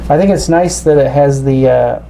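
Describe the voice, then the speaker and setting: A man giving a casual, halting, explanatory product demonstration as a reviewer. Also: medium pitch, creaky, mellow, slight background noise.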